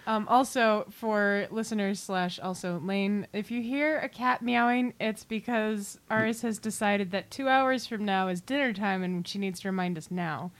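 A woman's voice: mid-range voice, normal speed, hardly any pauses. A playful, giggly woman chatting casually as a podcast co-host. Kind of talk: casual chat. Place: attic room of a Victorian house.

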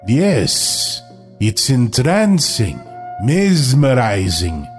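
A polite, predatory male vampire in an audio play. He speaks extremely slowly with wide swings of pitch over faint music, lingering on his words in a sly, hypnotic way. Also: huge pitch swings, heavily acted. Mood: hypnotic, savoring. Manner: measured, hypnotic, insinuating. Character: suave, slow-talking male vampire shop manager, sly and predatory. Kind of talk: audio drama acting.

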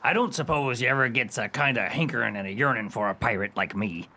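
An actor playing a shabby, lovesick one-eyed pirate with rustic, ungrammatical speech in a comic radio play. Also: male voice, mid-range voice, quick pace, bright, forceful tone. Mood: lovesick, humble. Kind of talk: stage pirate radio comedy acting.

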